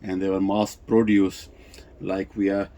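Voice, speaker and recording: Low-key man explaining vintage electronics. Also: level tone, measured pace, mellow, lo-fi sound. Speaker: vintage radio reviewer and presenter. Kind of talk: unscripted technical demonstration and explanation.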